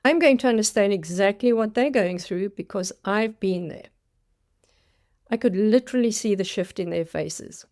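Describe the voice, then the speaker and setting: A woman's voice: medium pitch, moderate pace, darkish tone. South african lady in her 60s telling her story, dramatic, acts out little quotes. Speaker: career coach. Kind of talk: scripted storytelling monologue.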